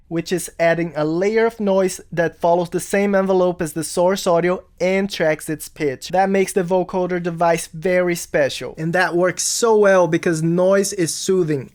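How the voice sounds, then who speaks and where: A music production tutorial host narrating a scripted lesson, explaining things clearly step by step. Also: medium pitch.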